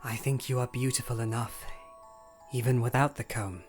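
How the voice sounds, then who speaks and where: A woman voicing a young male pony artist in a storybook, earnest, gentle and devoted, with a measured, persuasive way of speaking. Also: a bass voice, fairly even intonation, faint music behind, pitched below the performer's own voice.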